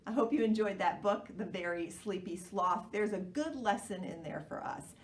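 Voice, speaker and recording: A woman's voice: medium pitch, normal speed, a little hiss or hum. A woman leading a children's storytime, reading expressively and putting questions to her young listeners. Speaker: children's library storytime reader. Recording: roomy echo, far from the mic.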